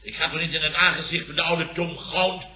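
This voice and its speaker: An actor playing a blustering, pompous middle-aged Dutch company boss in a comic 1970s radio play, grumbling and declaiming theatrically. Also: male voice, a little high, steady tone, brisk, bright, forceful tone. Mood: indignant. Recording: roomy old radio comedy recording.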